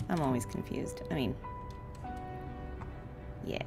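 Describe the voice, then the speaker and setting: Low-voiced woman chatting on a game stream. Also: takes it slowly, vocal fry. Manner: chatty, informal, chuckles easily. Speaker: voice actress livestreaming gameplay. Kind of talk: casual livestream chat while playing a game. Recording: faint game music behind voice. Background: faint game music.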